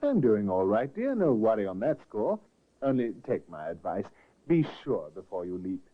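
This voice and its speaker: A courteous, solicitous nephew. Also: male voice, on the low side, big pitch swings, normal speed. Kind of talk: 1950s radio drama acting. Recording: tinny restored old radio broadcast.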